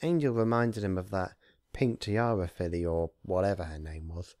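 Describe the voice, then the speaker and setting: Mellow, low-pitched man reading stories. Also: normal speed, no room sound, clean studio sound. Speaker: amateur fan-fiction reader and narrator. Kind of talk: story narration and informal commentary.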